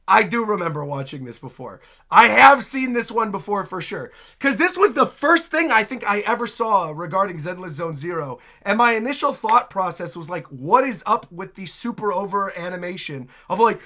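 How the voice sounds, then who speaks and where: A man's voice: high voice, sharp tone. A man hosting a casual reaction video, chatty and exclamatory as he comments. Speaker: video host, streamer.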